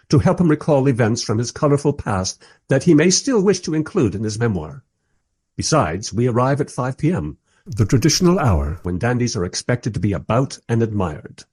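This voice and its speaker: An actor playing a mild, polite time-travelling interviewer who narrates his story wryly in a radio play, with a husky voice. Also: medium pitch, a little mellow. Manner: wry, polite. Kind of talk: first-person radio play narration and dialogue.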